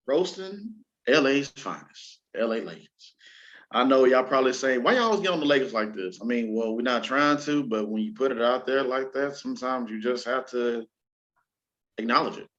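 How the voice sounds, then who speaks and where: A man's voice: mid-range voice, a bit bright. A man hosting a casual entertainment and sports show, chatting in a slangy, conversational way. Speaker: talk show host. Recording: slightly dull, lo-fi audio.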